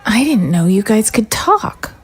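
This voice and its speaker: A woman playing a hesitant, soft-hearted young girl recruit in an audio drama. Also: medium pitch, sing-song, a little slow, soft background music. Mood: hesitant, reluctant. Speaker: voice actor.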